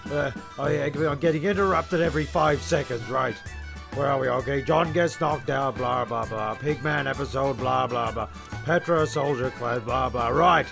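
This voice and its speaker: Comic radio-play narrator, male actor: gravelly, testy, rambling, stumbles and restarts, music behind. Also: mid-range voice, normal speed.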